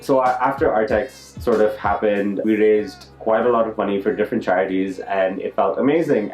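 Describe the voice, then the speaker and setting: Young male vlogger, low voice, casual personal storytelling, roomy sound with music underneath. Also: a little mellow. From Dubai. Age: young adult. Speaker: YouTube art vlogger. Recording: roomy echo, music behind.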